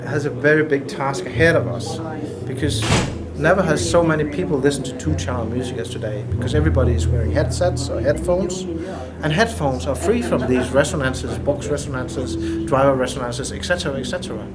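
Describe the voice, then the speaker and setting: Danish designer, 40s, earnest and technical, showroom interview, camera mic. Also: male voice, medium pitch, over a noisy crowd. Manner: earnest, explanatory, slightly halting. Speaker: loudspeaker designer. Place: indoor hi-fi showroom.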